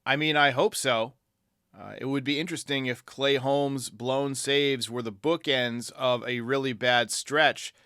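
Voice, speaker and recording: A man's voice: mid-range voice, fairly even intonation, measured pace, sharp tone, no room sound, studio quality. A man hosting a sports podcast, giving commentary in reply to fans. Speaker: podcast host. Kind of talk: sports commentary answering fan voicemails.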